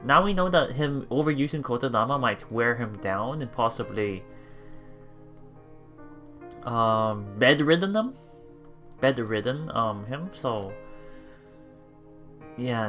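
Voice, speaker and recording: Male let's play host, bright mid-range voice, halting read-aloud with casual commentary, soft music behind. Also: medium pitch, expressive. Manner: halting, rereads and repeats words. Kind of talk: reading game text aloud with casual reactions. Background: game music.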